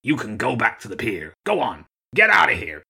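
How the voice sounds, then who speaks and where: Storybook old seagull archduke, played by a male reader: husky, gruff, commanding, blustering yet canny. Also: fairly low pitch, expressive, moderate pace, bright, forceful tone, heavily acted. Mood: angry, commanding. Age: older. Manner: blustering, barking orders.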